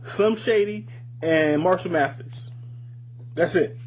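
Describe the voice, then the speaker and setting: A man's voice: high voice, slow pace, dull audio, slight background noise. A man giving blunt, emphatic, profanity-laced opinions in casual podcast conversation as a co-host.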